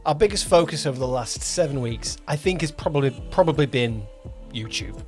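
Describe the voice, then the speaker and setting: A man's voice: on the high side, animated, fairly quick, soft background music. A man co-hosting a podcast as a producer and director, chatting casually in a loose, hesitant, conversational way. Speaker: podcast host, audio drama producer and director. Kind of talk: casual two-person podcast chat.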